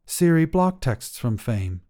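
A man's voice: medium pitch, very animated, slow, mellow. A man voicing a skeptical, unimpressed young woman in a story, curt and dismissive.

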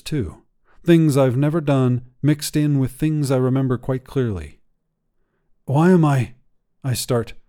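A man hosting a fiction podcast and reading a short story in a measured, low-key first-person voice. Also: dark tone. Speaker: podcast host and narrator. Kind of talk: scripted fiction narration.

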